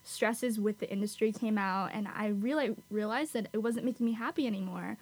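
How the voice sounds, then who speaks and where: A woman's voice: mid-range voice, brisk, darkish tone, breathy edge. A young woman from Los Angeles in her twenties, a graduate student guest answering thoughtfully with some "um"s. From Los Angeles, USA. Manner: thoughtful, hesitant with fillers. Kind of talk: interview answers.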